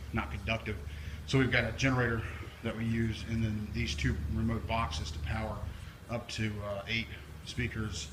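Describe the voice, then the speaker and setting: A man giving a casual, off-the-cuff equipment walkthrough in an informal, explanatory way, recorded indoors on a handheld camera. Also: fairly low pitch. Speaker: video presenter, DJ and PA technician. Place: indoors, brick-walled workshop building. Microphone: handheld camera or phone, speaker off screen. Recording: noisy handheld camera audio with room ambience.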